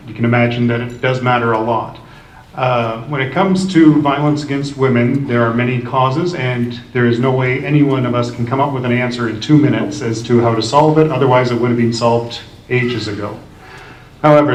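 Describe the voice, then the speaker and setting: A man answers as a candidate at a public forum, earnest and firm in what he says. His voice is picked up from a distance and rings in the echoey hall. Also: medium pitch. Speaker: political candidate. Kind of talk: debate answer.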